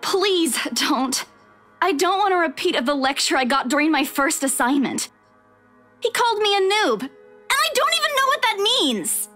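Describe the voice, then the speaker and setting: An actress playing a spirited, stubborn young woman in a visual novel game, expressive and protesting. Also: extremely high pitch, normal speed, faint music behind, full character voice. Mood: playful complaint.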